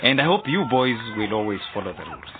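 A man's voice: mid-range voice, sing-song, quick pace, lightly acted. A man playing a hearty boys' football coach in a radio drama, encouraging and instructing his group. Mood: hearty, instructive. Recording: noisy, tinny phone-like radio drama recording.

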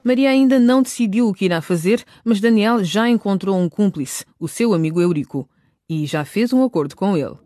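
A woman's voice: mid-range voice, expressive, a little fast, mellow. A woman reading scripted narration for a radio drama, recapping and introducing scenes.

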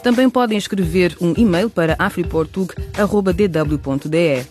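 Guy narrating an educational radio show, high voice, faint music behind. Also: normal speed, darkish tone. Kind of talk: scripted narration.

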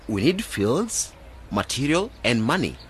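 A man's voice: medium pitch, big pitch swings, measured pace, slight background noise. A man acting in a radio drama as an earnest, idealistic, determined young graduate planning a village project.

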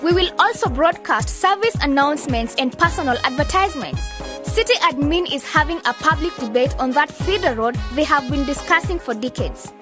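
Young female radio presenter, high voice, bubbly, promotional, radio drama, loud music behind. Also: animated, a little fast. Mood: eager, promotional. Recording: loud music bed under voice.

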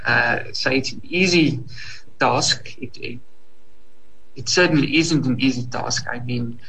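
A man's voice: mid-range voice, unhurried, bright, forceful tone, a little hiss or hum, rough recording. A man from South Africa's Free State with an Afrikaans accent, a native Afrikaans speaker translating, talking in a hesitant, self-deprecating way. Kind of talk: panel discussion.

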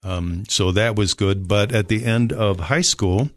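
An older man from Minnesota with a Minnesota accent, telling his life story as a guest in an unhurried, polite way. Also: fairly low pitch, dry recording, clean studio sound. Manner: unhurried, polite, frequent fillers. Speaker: rescue mission director, guest. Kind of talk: relaxed storytelling interview.

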